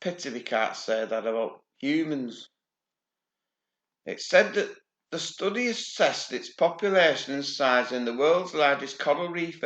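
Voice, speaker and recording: A man's voice: medium pitch, fairly bright tone, reverberant. A man reading news reports aloud and breaking in with dry, sardonic asides and casual swearing. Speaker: channel host.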